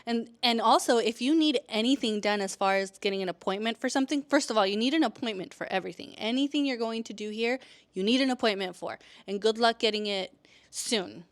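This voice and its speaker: A woman's voice: fairly high pitch, fairly quick. A woman in her thirties, born in El Salvador and raised in California, chatting casually to camera in a vlog, with lots of fillers and restarts. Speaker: vlogger.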